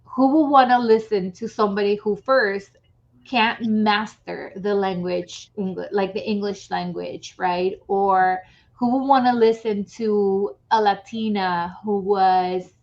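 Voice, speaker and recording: A woman's voice: medium pitch, fairly even intonation, takes it slowly, darkish tone. A woman from Mexico speaks English with a Spanish accent, her first language being Spanish. She chats casually as an interview guest, looping through thoughts with "like" and "right". The audio is a bit dull and lo-fi. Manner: chatty, lots of "like" and "right". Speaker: guest author and coach.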